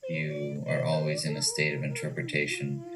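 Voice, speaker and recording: A man with an American accent giving reflective, measured answers as an interview guest. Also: extremely low pitch, completely flat delivery, crowd noise. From United States. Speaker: interview guest, ayahuasca retreat owner. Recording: distant mic with clear music behind.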